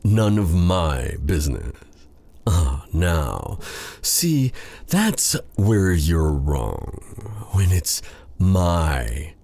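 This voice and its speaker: Man acting a deep, gravelly, slow-talking dark sorcerer. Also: big pitch swings, full character voice. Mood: teasing, concerned. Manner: theatrical, drawn-out, courtly endearments. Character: possessive, silky, menacing dark sorcerer captor. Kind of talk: ASMR roleplay, theatrical fantasy audio drama.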